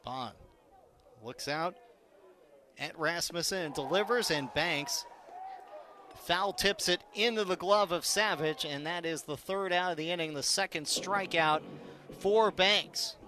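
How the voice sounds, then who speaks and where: A man's voice: high voice, bright, forceful tone, slight background noise. A man calling a live baseball game on the radio as play-by-play announcer, in clipped, descriptive phrases. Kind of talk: live radio sports commentary.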